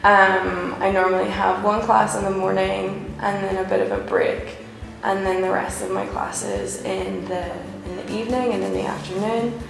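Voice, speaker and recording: Very creaky Northern Irish woman, echoey room. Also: mid-range voice, normal speed. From Northern Ireland. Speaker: English teacher. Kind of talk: interview testimonial. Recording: very echoey room, music over it. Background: music.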